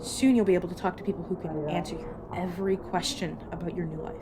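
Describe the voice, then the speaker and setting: Over clearly noisy ambience comes a low female voice, an actress playing a weary cursed agent who hunts rogue vampires. She explains patiently and apologetically, with casual, hesitant asides, in the intimate style of an audio roleplay. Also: fairly even intonation, quick pace, close to an everyday voice. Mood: explaining, earnest. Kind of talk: narrative audio roleplay acting, addressed to listener.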